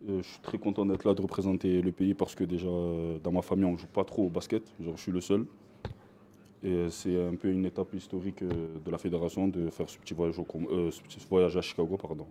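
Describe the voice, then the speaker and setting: A man's voice: sits low, flat delivery, quick pace, warm tone, slight background noise. A man from Marseille introducing himself as a basketball player, hesitating often. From Marseille, France. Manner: hesitant, many fillers. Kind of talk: self-introduction.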